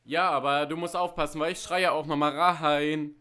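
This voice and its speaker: A man rehearsing lines as an amateur performer, breaking off between loud theatrical takes and casual chat. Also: a little high, steady tone, normal speed, clean tone.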